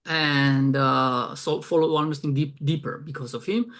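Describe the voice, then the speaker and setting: A man's voice: fairly high pitch, steady tone, measured pace, fairly bright tone. A young man from Georgia with a Georgian accent, talking casually in an interview, hesitant with many fillers. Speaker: guest, promotions director.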